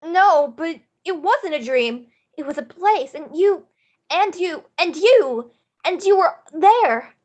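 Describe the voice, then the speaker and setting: A woman's voice: very high-pitched, expressive, slow, darkish tone, exaggerated voice. A woman playing an earnest, pleading young farm girl, tearful and wide-eyed, in a screenplay table read. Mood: insistent. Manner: pleading, emphatic.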